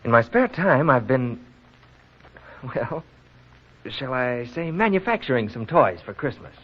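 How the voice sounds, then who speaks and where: A man playing an earnest, gentle young countryman in a 1940s radio drama. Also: mid-range voice, very animated, measured pace. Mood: eager, kindly. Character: lonely, friendly young countryman who makes toys. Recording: muffled, hissy old radio recording.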